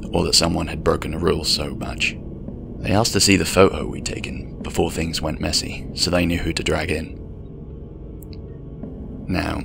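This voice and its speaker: A man narrates a scripted scary story in the first person. His voice is low with a gravelly fry, and music plays clearly behind him.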